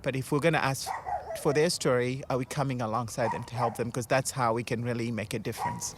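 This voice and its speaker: A man's voice: mid-range voice, fairly even intonation, fast. A man from Zimbabwe, an officer with a charity, answering interview questions in an earnest, reflective way. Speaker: Salvation Army officer. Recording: noisy background.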